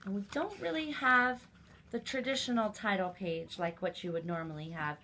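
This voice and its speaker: Woman, children's library story time host, bright voice, animated read-aloud and chat, noisy home recording. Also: mid-range voice, normal speed. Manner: animated, addresses children directly as friends.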